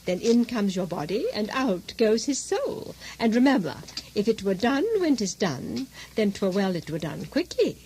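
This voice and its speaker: A woman's voice: mid-range voice, animated, normal speed, hardly any pauses, a little mellow, close to an everyday voice. A woman acting a scheming, sardonic, bossy wife in a comic radio drama, wry and commanding. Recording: hissy, noisy vintage radio drama recording.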